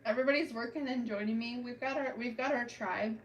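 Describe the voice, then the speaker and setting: A woman's voice: a little high, fairly quick, far from the mic, a little hiss or hum, rough recording. A woman hosting a casual livestream, chatting with viewers while she paints her nails and talks through each step. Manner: chatty, self-narrating, talks to chat while working. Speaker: livestream host doing nail art. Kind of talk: casual chat with live viewers. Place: home, doing a manicure.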